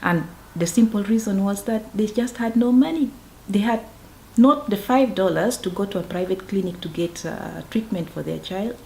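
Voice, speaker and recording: A woman from northeastern Uganda, speaking, who founded a farming project and talks in an earnest, measured way in a documentary interview. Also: medium pitch, gravelly, mellow. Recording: echoey room, faint background noise.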